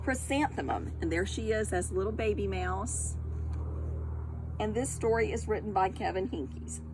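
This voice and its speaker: A woman reading a picture book aloud to young children, expressive and deliberate, pausing to put questions to them directly. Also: mid-range voice, noisy background. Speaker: storytime reader, teacher of young children.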